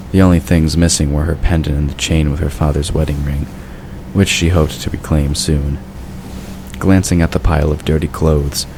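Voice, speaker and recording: A man reading scripted narration for a fantasy audio drama. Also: rumbling low. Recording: clear background noise.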